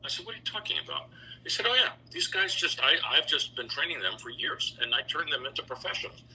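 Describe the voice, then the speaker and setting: A man's voice: a little high, big pitch swings, fast, pushed and bright, breathy edge, poor audio. A middle-aged man telling anecdotes conversationally as an interview guest, voicing the dialogue he recalls. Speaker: interview guest, businessman and choral bass singer.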